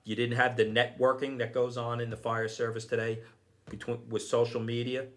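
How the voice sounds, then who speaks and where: A man's voice: medium pitch, flat delivery, a little fast, a bit bright. A middle-aged man, a deputy fire chief giving earnest advice in a podcast interview, often repeating himself and adding "okay".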